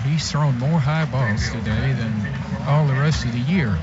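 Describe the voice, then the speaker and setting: Male football analyst, medium pitch, casual radio color commentary, loud music underneath. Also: a little slow, dull audio. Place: stadium radio booth.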